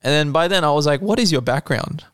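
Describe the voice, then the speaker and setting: A man's voice: fairly high pitch, lively intonation, fast, fairly bright tone, dry recording, studio quality. A young man hosting a casual podcast, asking curious questions and laughing easily. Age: young adult. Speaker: podcast host.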